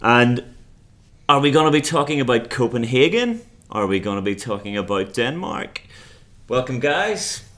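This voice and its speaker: A man hosting a conference podcast, friendly and curious as he puts questions to his guests. His voice sits at an ordinary middle pitch. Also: very animated, normal speed, a bit bright. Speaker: podcast host.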